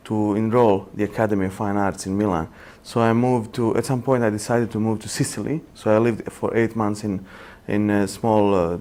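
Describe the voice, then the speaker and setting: A man's voice: lowish voice, a little mellow. A man with a Bosnian accent telling his story casually in non-native English, hesitant and wry, repeating words as he goes. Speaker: interviewee.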